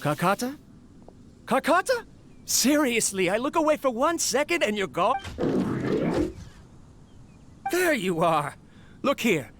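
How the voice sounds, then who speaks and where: Proud, dreamy inventor for a game: high-pitched, very animated, grandiose, fond of his creation. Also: normal speed, noisy background. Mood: mock scolding. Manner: grandiose, theatrical monologue. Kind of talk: video game voice acting.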